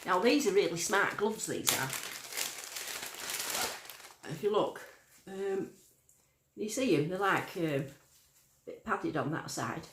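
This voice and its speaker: A woman's voice: lowish voice, lively intonation, slow, pauses often, a bit bright, roomy sound, slight background noise. A woman from Lancashire with a northern accent that sounds a bit Yorkshire, chatting casually on a livestream and reading out viewer comments. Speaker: metal detecting livestream host.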